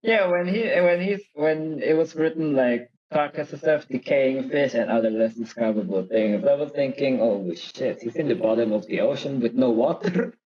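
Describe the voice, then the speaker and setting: A man's voice: medium pitch, moderate pace, few pauses. A man chatting casually and in slang as a co-commentator on a read-along. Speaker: co-commentator listening to the reading. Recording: dull, lo-fi voice audio.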